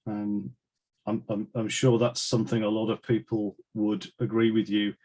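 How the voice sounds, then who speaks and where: Lower-voiced man hosting. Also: level tone, moderate pace, a little mellow. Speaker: webinar host, committee member. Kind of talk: conversational hosting. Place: online video call.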